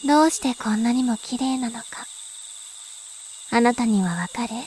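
An actress voicing a calm, mysterious older sister who speaks softly and philosophically in a voice drama. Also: female voice, a little high, slight background noise, lightly acted. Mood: gentle. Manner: slow, soft, musing. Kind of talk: voice drama acting. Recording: faint insect and cricket ambience.

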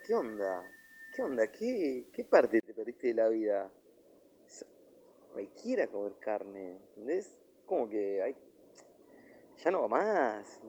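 A man's voice: mid-range voice, creaky, darkish tone, slight background noise, full character voice. A man playing a snobbish, preachy vegetarian in a comic radio play, affected and condescending, with an Argentine accent. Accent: Argentine, Rioplatense.

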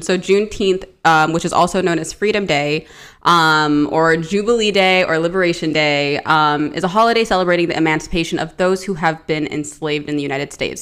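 A woman from Maryland, co-hosting a podcast in casual chat, jokey and quick to agree. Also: low voice, fairly bright tone. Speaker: podcast co-host.

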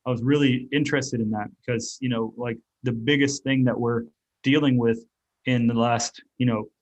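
A man's voice: mid-range voice, fairly even intonation, moderate pace, darkish tone, low-quality recording. A man who grew up in Germany, with German as his first language, answering interview questions as an author and artist in a rambling, reflective way full of fillers and restarts. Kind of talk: casual interview talk.

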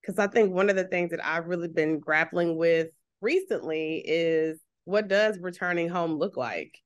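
A woman's voice: lowish voice, normal speed. Chatty Texan woman hosting a podcast. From Texas, USA. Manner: warm, chatty, restarts phrases, laughs often. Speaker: podcast host, therapist. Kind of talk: casual podcast interview.

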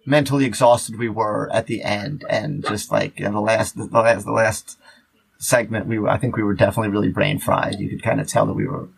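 A man chatting casually as a podcast host, in a halting, rambling way full of fillers. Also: fairly low pitch, fairly even intonation, vocal fry, a little hiss or hum, poor audio. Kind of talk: casual chat.

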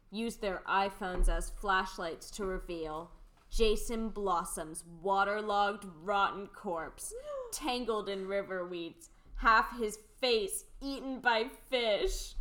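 A woman's voice: mid-range voice, takes it slowly, a bit bright. A young adult woman reading the stage directions in a podcast radio play, dry and matter-of-fact.

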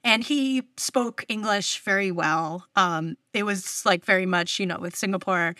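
A woman's voice: medium pitch, measured pace, sharp tone, no room sound, clean studio sound. A woman in her thirties from New York, a journalist guest chatting casually in an interview, laughing often between her words. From New York, US East Coast. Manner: chatty, laughs often mid-sentence, many fillers. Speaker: public radio journalist, guest.

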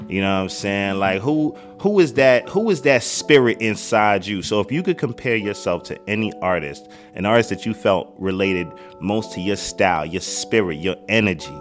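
A low-voiced man hosts a casual interview, laid-back and full of slang. He hypes up his guest as he talks. Faint music runs underneath. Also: lively intonation, moderate pace, few pauses. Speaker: podcast host.

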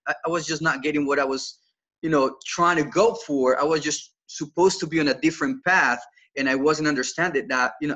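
Bright-voiced man with a Colombian accent. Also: a little high, highs cut off. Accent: Colombian Spanish. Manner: earnest storytelling with many repeated words and restarts. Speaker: podcast guest, financial coach. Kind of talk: casual podcast interview.

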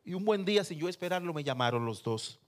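A man, a pastor preaching and telling personal anecdotes in a lively way. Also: fairly high pitch, fast. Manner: lively storyteller who acts out quotes.

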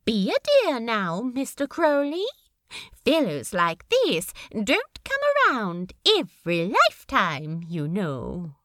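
Woman playing a bright, gushing motherly older lady. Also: mid-range voice, huge pitch swings, slow pace, heavily acted. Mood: fondly stern. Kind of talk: fan fiction podfic character acting.

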